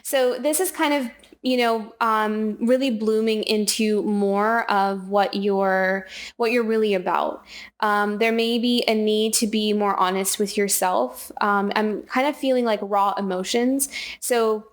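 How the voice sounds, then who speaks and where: A woman doing a casual spiritual oracle card reading, talking in a soft, rambling way while losing her voice. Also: mid-range voice, steady tone, measured pace. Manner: soft, rambling, repeats and restarts phrases. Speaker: tarot and oracle card reader.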